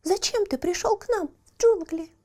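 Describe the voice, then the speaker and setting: Tiny storybook bird: squeaky-high, chirping, grateful and eager to help. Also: female voice, normal speed, darkish tone. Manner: chirping, exclaiming.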